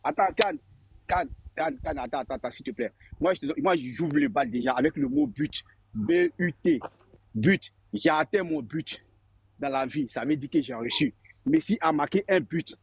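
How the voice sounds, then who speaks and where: A man's voice: fairly high pitch, lively intonation, normal speed, slightly rough, dull audio. A man in a live debate, insistently pressing another speaker with repeated questions. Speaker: debate participant.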